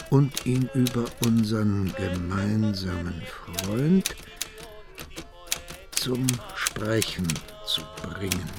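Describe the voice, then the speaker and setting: An actor playing a pious old Sicilian mafia boss in hiding, dictating letters slowly and formally in a radio crime drama. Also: male voice, medium pitch. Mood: solemn, halting. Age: older. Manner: slow, formal, halting dictation. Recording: music behind, typewriter clacking.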